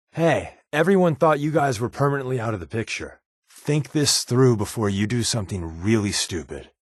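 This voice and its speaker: Glib, wisecracking undercover agent, lively pitch. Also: male voice, no echo. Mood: wry, wary. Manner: glib, dry sarcasm, conversational. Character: wisecracking male undercover agent and companion, sly, sardonic. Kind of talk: video game companion dialogue.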